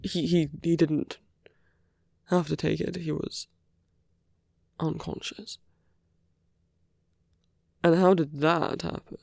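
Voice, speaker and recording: A seductive, smug villain acted out by an ASMR performer speaks close and dry, with plenty of gravelly fry. The delivery is intimate and teasing, drifting between a breathy murmur and whispers, as in a romantic audio roleplay. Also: mid-range voice, little pitch movement, takes it slowly, long pauses, darkish tone, no echo. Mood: amused, mocking. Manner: intimate, teasing, drawling, often dropping to whispers.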